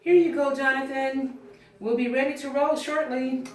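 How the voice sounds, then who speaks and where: A woman reading the part of a brisk, friendly first assistant director at a film script table read. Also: high-pitched, fairly even intonation, lightly acted. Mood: polite. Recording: roomy, distant mic, faint noise, clicks.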